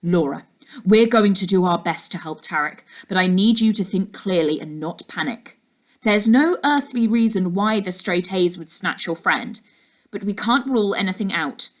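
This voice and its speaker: A woman voicing a serious ex-detective uncle in a storybook, speaking in a measured, authoritative way. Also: medium pitch, lively intonation, lightly acted. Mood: calm, firm. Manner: measured, commanding, matter-of-fact.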